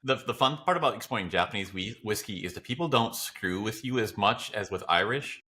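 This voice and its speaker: A man's voice: lowish voice, expressive, fairly quick, bright, forceful tone. An American man bantering casually as a co-host, playful and wry, swearing freely. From United States. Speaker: podcast co-host.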